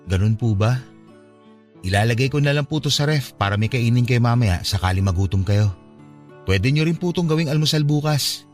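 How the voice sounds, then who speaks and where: A man's voice: medium pitch, expressive, moderate pace, natural voice. An actor playing an earnest, hurt son who longs for his father's love in a Filipino radio drama. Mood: polite, hesitant. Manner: polite, earnest, pleading. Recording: music behind the voice.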